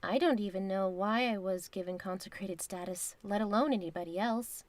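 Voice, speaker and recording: A woman's voice: medium pitch, dry recording, natural voice. Woman as a composed, knowing divine woman, dryly recorded. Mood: matter-of-fact. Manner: measured, formal phrasing. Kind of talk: audio drama dialogue.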